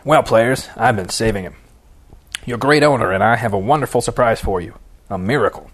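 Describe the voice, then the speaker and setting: A man's voice: on the low side, fairly quick, natural voice. A man voicing an angry, sarcastic and stubborn baseball team manager in an audiobook, mocking as he barks orders. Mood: sarcastic announcing. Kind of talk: audiobook character dialogue.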